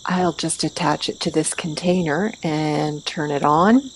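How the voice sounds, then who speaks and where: Confident female raid leader, acted by a woman: deep for a woman, matter-of-fact, faint noise behind. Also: steady tone, a little slow, low-quality recording. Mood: confident scheming. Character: confident, calculating female vigilante leading a stealth raid. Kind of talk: amateur audio play acting.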